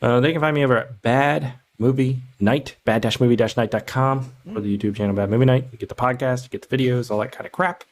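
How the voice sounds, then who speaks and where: A man's voice: medium pitch, fairly even intonation, fast, few pauses, slight background noise. A man guesting on a movie podcast, chatting casually with jokes and some swearing. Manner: joking, casually profane. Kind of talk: casual movie review chat.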